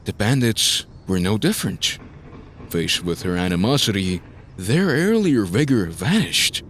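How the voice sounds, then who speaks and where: A man's voice: on the low side, very animated, measured pace, slight background noise. A man narrating a scripted story in a dramatic style, as the narrator of an audio drama.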